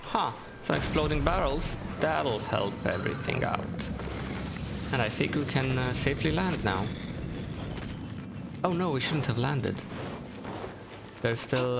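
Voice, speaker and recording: Creaky-voiced man doing casual game commentary. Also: mid-range voice. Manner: easygoing, talks to himself. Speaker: gaming streamer. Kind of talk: casual let's play commentary. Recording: game sound and noise behind.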